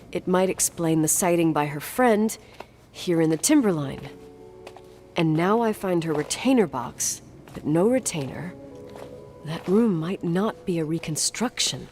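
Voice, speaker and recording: A woman's voice: on the low side, a little mellow. An actress playing a strong-willed, defiant young woman in a video game, with an intense, emphatic, sweary delivery. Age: young adult. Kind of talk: naturalistic video game voice acting.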